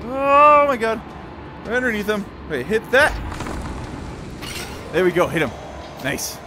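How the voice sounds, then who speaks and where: A man giving excited live gameplay commentary in short, exclamatory bursts, talking to himself as he plays. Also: sits high, measured pace, noisy background. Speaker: gamer playing and commenting. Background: game music.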